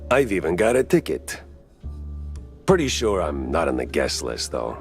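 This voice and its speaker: An actor playing a world-weary cartoon mouse private eye in a video game, with wry, deadpan noir delivery. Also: male voice, extremely low pitch, moderate pace, soft background music, exaggerated voice. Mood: guarded, wry. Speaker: detective protagonist and narrator. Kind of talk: 1930s film noir detective voice acting.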